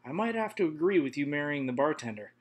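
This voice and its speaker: A humble, polite innkeeper woman, eager to please and a little fussy, her voice pitched well up as a man puts her on. She is a storybook hostess who bows to royalty. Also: animated, quick pace, natural voice.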